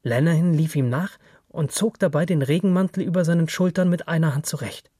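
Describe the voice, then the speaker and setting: A man reading scripted literary narration as a storyteller, with a husky voice. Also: fairly high pitch, brisk, mellow, no echo, clean studio sound.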